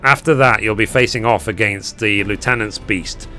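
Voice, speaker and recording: Guy reading gruff squad commander, giving orders, hoarse and bright. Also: fairly low pitch, moderate pace, faint music behind. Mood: brisk.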